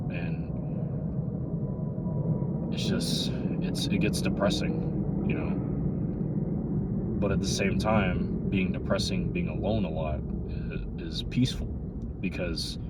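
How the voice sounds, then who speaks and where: Young guy early 20s, really low voice, rambling confessional vlog, recorded in a car w noticeable background noise. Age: 20s, twenty-three. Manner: rambling, candid, frequent "you know". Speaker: vlogger. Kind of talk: casual confessional monologue. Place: inside a parked or running car. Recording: noisy, vehicle rumble inside car.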